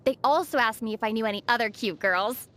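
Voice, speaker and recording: Woman playing a gentle, high-voiced young female traveler. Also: quick pace, close to an everyday voice. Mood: calm, friendly. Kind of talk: video game dialogue acting. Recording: faint music under the voice. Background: faint background music.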